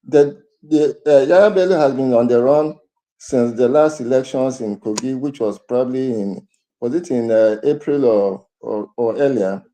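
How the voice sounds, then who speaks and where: A man gives news commentary as a panelist in a very dark, soft-edged voice of medium pitch. His delivery is halting, with repeated words as he builds his point. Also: poor audio.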